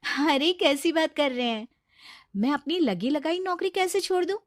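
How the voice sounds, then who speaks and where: A woman's voice: high-pitched, animated, normal speed, dry recording, studio quality, pitched above the performer's own voice. A woman voicing a self-reliant, strong-willed working wife and mother in a cartoon, firm and indignant.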